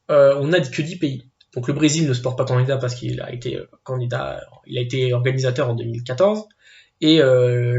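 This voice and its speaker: A man's voice: mid-range voice, darkish tone. A man giving his casual opinions, hesitant, with many fillers and restarts. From Portuguese family background. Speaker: football video commentator. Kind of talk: casual spoken opinion piece. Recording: echoey room, dull lo-fi sound.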